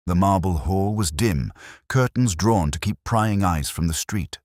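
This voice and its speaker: Very deep-voiced male mystery narrator. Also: a little mellow, no echo, studio quality. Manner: measured, literary, theatrical delivery. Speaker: audiobook narrator. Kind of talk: scripted dramatic mystery narration.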